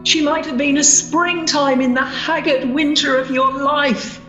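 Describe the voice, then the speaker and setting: A woman playing a solemn, relentless spirit in a radio play, deliberate and commanding. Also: sits high, fairly even intonation, fairly bright tone, soft background music. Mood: solemn, insistent. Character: solemn, relentless female ghost of Christmas past. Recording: echoey, muffled sound.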